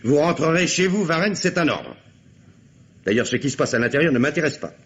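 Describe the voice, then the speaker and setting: An actor playing a curt, authoritative, impatient police commissioner in a radio mystery play. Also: male voice, on the high side, expressive, fairly quick, natural voice. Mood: angry, commanding. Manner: curt, commanding. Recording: dull, noisy old radio drama recording.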